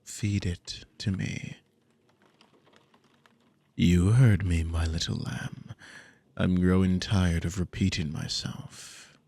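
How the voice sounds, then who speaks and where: A deep-voiced, slow-talking demon played by a man in an intimate ASMR roleplay. He is smug and possessive, drawling his commands with condescending, silky menace. The low voice crackles with gravel. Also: steady tone, darkish tone, exaggerated voice. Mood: smug, commanding. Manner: commanding, condescending, theatrical drawl with lingering pauses. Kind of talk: ASMR audio roleplay, intimate scripted monologue.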